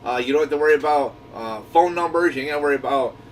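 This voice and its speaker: A man's voice: on the high side, rapid-fire, far from the mic, slight background noise. A man hosting a radio group in a folksy, easygoing way, explaining things in casual instructional chat. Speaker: radio shop owner hosting a walkie-talkie group channel.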